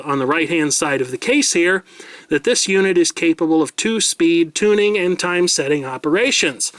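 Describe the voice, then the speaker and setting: A man's voice: a little high, fairly bright tone, no room sound. A middle-aged man from the United States, reviewing a portable radio at his desk in a precise, wordy way with self-mocking asides. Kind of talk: product review narration. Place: desk in a home room.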